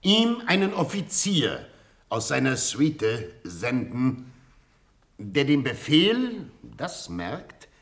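A stern military commander in a radio play, dictating with formal authority. His delivery is slow and declamatory, rising and falling strongly at a medium pitch. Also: fairly bright tone, full character voice. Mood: insistent, dictating. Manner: declamatory, deliberate dictation in measured phrases. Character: stern, formal Prussian field marshal dictating orders. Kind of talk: classic German radio play stage acting.

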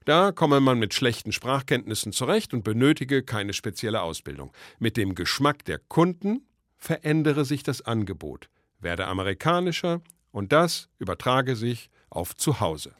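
A man's voice: mid-range voice, very animated, normal speed, a bit bright, no room sound, clean studio sound. A man, a native German speaker, reading scripted documentary narration as a radio reporter.

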